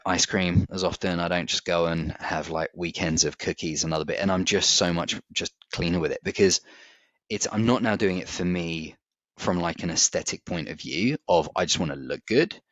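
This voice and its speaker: Deep-voiced candid man in his forties. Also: a bit bright, no room sound. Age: 40s. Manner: candid, reflective, laughs easily. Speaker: podcast co-host. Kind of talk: casual chat. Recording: dry, slightly dull audio.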